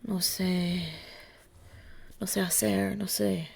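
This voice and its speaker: A woman who presents as a native Spanish speaker, haltingly and apologetically greeting viewers in short, broken fragments. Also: on the low side, little pitch movement, vocal fry, breathy edge. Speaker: vlogger.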